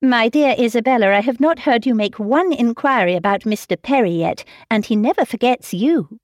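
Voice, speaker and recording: A woman reading aloud voices a clever, tactful young woman with a brisk, teasing manner. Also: a little high, no echo, voice pushed up, natural voice. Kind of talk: audiobook character reading.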